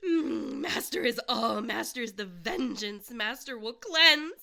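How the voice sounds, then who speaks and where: A performer voicing a creepy, fanatical vampire minion with menacing, drawn-out chanting in an audio roleplay. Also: mid-range voice, lively intonation, gravelly, sharp tone, voice pushed up, heavily acted. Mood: gleeful menace.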